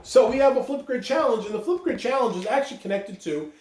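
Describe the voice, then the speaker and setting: A person reading a children's storybook aloud with expressive, animated delivery. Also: mid-range voice, quick pace. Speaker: school staff member reading aloud. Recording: roomy, distant mic with clear background noise.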